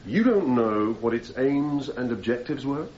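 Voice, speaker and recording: An actor playing a persistent, formal defence barrister cross-examining a witness in a radio courtroom drama, putting methodical leading questions. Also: male voice, medium pitch, lively intonation, a little slow, darkish tone, close to an everyday voice. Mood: challenging. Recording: slightly muffled, noisy radio drama recording.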